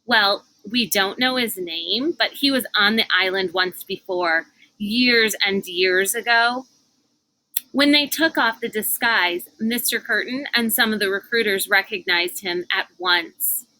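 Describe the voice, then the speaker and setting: A woman's voice: medium pitch, a little slow, bright, forceful tone, low-quality recording. A woman voicing a smug, brash, gossipy girl bully in a storybook read-aloud. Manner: brash, chatty, self-satisfied. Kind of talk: storybook character voice.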